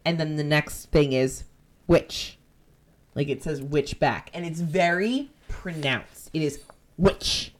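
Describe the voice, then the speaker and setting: Low-voiced Jersey woman retelling a ghost story. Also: slow pace. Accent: New Jersey. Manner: animated, profane, jumps into quoted voices. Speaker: podcast host. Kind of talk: casual chat retelling a ghost-hunting episode.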